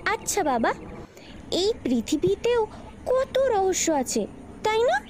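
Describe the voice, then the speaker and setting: A woman's voice: very high voice, big pitch swings, slow, darkish tone, slight background noise, heavily acted. A woman playing a curious, eager twelve-year-old girl in an audio story, earnest and inquisitive.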